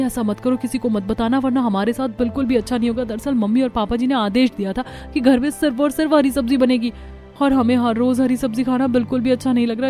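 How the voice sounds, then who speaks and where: A woman's voice: a little high, mellow, close to an everyday voice. A woman voicing a sneaky young daughter-in-law in a family cartoon, chatty and conspiratorial. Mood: nervous, pleading. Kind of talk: Hindi family cartoon dialogue.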